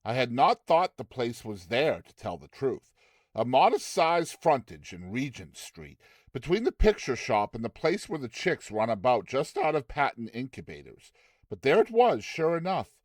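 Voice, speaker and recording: A man narrating a classic short story in a theatrical, expressive audiobook style. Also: mid-range voice, moderate pace, dry recording.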